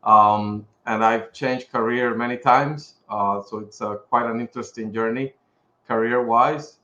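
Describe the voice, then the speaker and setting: A man's voice: mid-range voice, fairly even intonation, unhurried, sharp tone. A middle-aged man with a Puerto Rican accent talking casually as an interview guest. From Puerto Rico. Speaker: podcast guest, pharmaceutical executive. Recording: roomy echo, slightly dull sound.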